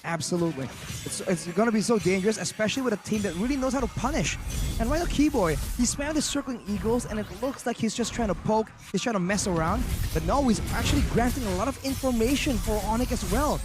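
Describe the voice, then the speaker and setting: A man giving energetic analysis as color commentator on an esports match. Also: high voice, fast, over music.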